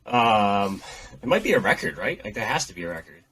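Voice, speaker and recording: A man's voice: on the low side, sing-song, fairly quick, sharp tone, husky, poor audio. This man talks through a heavy head cold, so his voice comes out nasal and stuffed up. He co-hosts a casual sports podcast and rambles, circling back over his own points. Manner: rambling, repeats himself. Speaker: podcast co-host.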